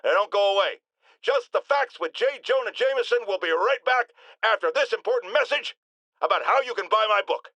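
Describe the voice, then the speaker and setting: A pompous middle-aged American radio pundit from a video game. His voice sits fairly high and swings widely in pitch. He delivers self-righteous, opinionated monologues with theatrical, ranting emphasis, in a dry studio sound. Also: lively intonation, brisk, bright, forceful tone, dry recording. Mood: scornful. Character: blustering, self-righteous middle-aged male radio talk-show host. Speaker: radio talk-show host.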